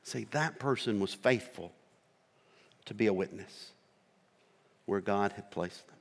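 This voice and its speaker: A middle-aged man with a rural American accent preaching a sermon as a missionary, earnest and slow, with pauses between short phrases. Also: fairly low pitch. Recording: long reverb of a large hall.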